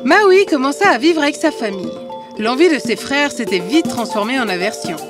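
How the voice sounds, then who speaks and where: High-voiced, animated woman storyteller. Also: normal speed. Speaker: narrator. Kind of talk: scripted fairy-tale narration. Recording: music behind the voice.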